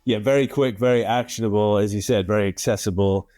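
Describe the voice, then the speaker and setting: A male podcast host with a fairly low voice asks questions in a casual, encouraging way. His voice sounds dry and close, with no room sound around it. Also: fairly even intonation, fast, mellow, dry recording. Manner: encouraging, with frequent "yeah" and small restarts.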